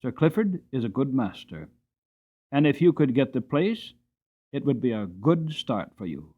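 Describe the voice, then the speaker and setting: A man voicing a grave but kindly Victorian master of the house in an audiobook, measured, formal and genial. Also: mid-range voice, sing-song, narrow, tinny audio. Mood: kindly, businesslike. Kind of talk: dramatic audiobook character reading.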